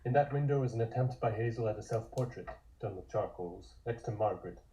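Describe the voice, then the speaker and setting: Mellow man narrating a script. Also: fairly low pitch, brisk, rough recording. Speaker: narrator reading scene descriptions at a table read.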